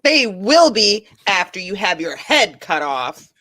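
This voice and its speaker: A woman's voice: medium pitch, big pitch swings, takes it slowly, bright, forceful tone, full character voice. A woman acting a proud, scornful young warrior in a comedic script read.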